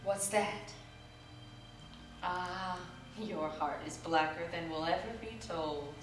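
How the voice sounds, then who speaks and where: A woman's voice: fairly low pitch, slow. A woman giving a dramatic, emphatic reading of a literary story in a live stage performance. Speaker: reader in a staged ensemble reading. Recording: echoey distant live theater recording with music. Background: music and sound design.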